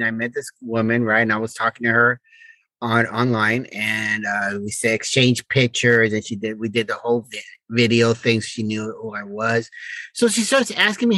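A man's voice: mid-range voice, sharp tone, no echo, rough recording. Man chatting casually, dry close sound. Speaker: podcast co-host. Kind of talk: casual relationship chat.

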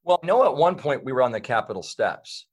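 A man's voice: mid-range voice, sing-song, a little fast, husky. A man talking as a guest in a casual interview, rambling with lots of fillers and restarts.

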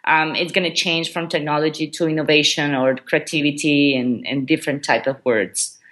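A woman's voice: sits low, fairly even intonation, normal speed, a bit bright. A woman from Ecuador whose first language is Spanish, answering interview questions in English with frequent ums and restarts. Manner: hesitant, many ums and restarts. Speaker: guest, education coordinator.

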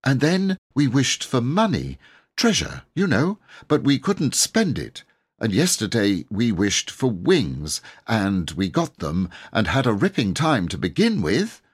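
A man's voice: medium pitch, huge pitch swings, measured pace, breathy edge, pitched above the performer's own voice, exaggerated voice. A man voicing an earnest, flustered young boy who stumbles and corrects himself politely in a storybook reading. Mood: earnest explaining. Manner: stumbling, self-correcting, polite schoolboy chatter.

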